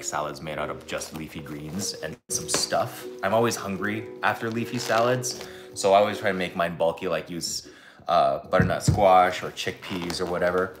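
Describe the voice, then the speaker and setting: A man in his thirties hosting a casual cooking livestream from his home kitchen, talking in a loose, rambling way with many fillers. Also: low-pitched. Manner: relaxed, rambling, lots of "like" and "um". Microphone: camera set a few feet away.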